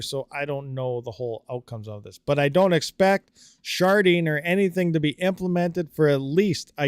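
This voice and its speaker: A man hosts a live stream, his voice sitting a little higher than most men's and the sound dry, with no room around it. He rambles conversationally through viewer comments, leaning on fillers and easygoing asides. His throat sounds a bit dry. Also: clear, resonant tone, no room sound. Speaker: livestream host.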